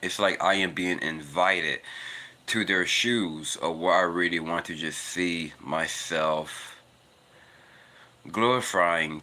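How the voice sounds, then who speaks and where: A man in his twenties talking casually and earnestly about faith, rambling and repeating himself while out on a walk. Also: bright, forceful tone. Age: 20s. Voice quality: naturally deep voice. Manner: rambling, earnest, repetitive "it's because". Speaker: vlogger. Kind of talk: casual spiritual monologue. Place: outdoors, walking in cold weather.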